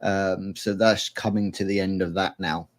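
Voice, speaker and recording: A man co-hosting a casual chat, relaxed and rambling as he explains things step by step. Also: deep voice, moderate pace. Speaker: co-host.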